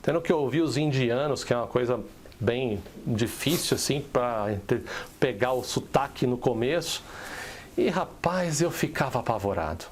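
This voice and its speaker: A man's voice: mid-range voice, expressive, normal speed, slight background noise. Brazilian man telling a work story. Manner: conversational, recounts personal work story. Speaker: podcast co-host. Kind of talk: casual business podcast chat.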